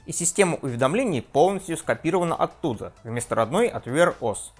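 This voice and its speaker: Man in his 30s, tech vlog host, animated delivery, slightly high voice, soft music under. Reads a script at home. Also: brisk. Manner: lively, self-mocking, trips on pronunciation. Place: home room with desk and gear.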